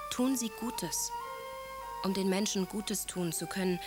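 An actress as a prim young gentlewoman in a period radio drama. She speaks in a medium register, formal and exact, earnestly virtuous. Music is clearly audible behind her. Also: mid-range voice, unhurried, natural voice. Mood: earnestly moralizing. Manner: formal, precise, moralizing.

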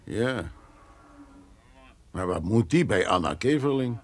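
A man's voice: on the low side, expressive, slow pace, faint music behind. An actor playing an older, suspicious and wry countryman father in a radio play, speaking in a pondering way. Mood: wry suspicion.

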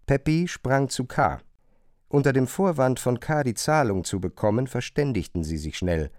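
A man's voice: mid-range voice, lively intonation, normal speed, a little mellow, no room sound, clean studio sound. A man reading scripted literary narration for a radio drama. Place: dry studio.

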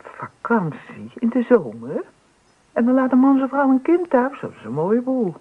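A woman's voice: a little high, sing-song, normal speed, husky, phone-quality sound, full character voice. An actress playing a suspicious, gossiping neighbour woman in a radio drama, indignant in manner, on a thin old recording.

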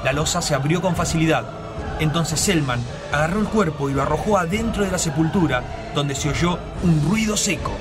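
A man's voice: on the high side, fairly quick. A man narrating a scripted radio drama in a solemn, suspenseful storytelling manner. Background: loud music.

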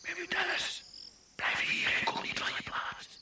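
An actor voicing an eerie, menacing disembodied jungle voice in a breathy whisper for a radio play. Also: a little slow, roomy sound, close to an everyday voice. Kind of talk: Dutch radio play acting. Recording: very noisy old recording, distant.

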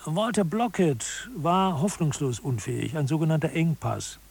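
A self-assured expert of absurd office science in a radio play. The voice is fairly high for a man and noticeably husky. He explains everything gravely, like a mock scholar giving instruction. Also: a little mellow, full character voice. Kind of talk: scripted audio drama lecture.

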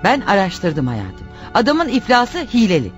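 An actress playing a nagging, reproachful wife in radio theatre, scolding and insistent with a sarcastic edge. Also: female voice, mid-range voice, huge pitch swings, measured pace, faint music behind. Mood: insistent, persuading. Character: nagging, reproachful wife pushing her jobless husband.

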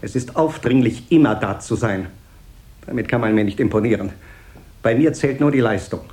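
A peevish company director acted by a man speaks in a mid-pitched voice, pompous and curt. He nags with sarcasm and leans on repeated words, in the manner of 1970s radio drama. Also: normal speed, a little mellow, close to an everyday voice. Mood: pompous, disdainful. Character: peevish, self-important, nagging male company director. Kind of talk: 1970s German radio play acting.

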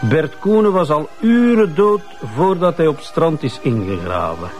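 Higher-pitched brisk male forensic expert. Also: big pitch swings, over music. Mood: matter-of-fact. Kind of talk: television crime drama acting.

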